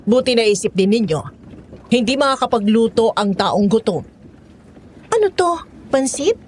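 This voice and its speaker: A weary Filipino woman cook in her fifties played by a woman in an audio drama. She complains bluntly in a somewhat high voice. There is faint noise behind her. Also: expressive, a little slow, darkish tone. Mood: satisfied. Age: 50s. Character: weary, outspoken fifty-year-old woman cook.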